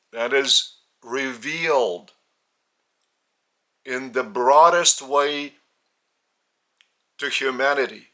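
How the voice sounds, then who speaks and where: A man with a Lithuanian accent, a spiritual teacher speaking in short halting phrases with restarts. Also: mid-range voice, bright, forceful tone.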